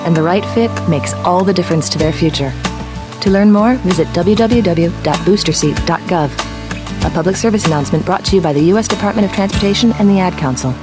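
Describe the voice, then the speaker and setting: A woman's voice: fairly low pitch, fast talker. A woman announcer reading a scripted public service message. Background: music with guitar.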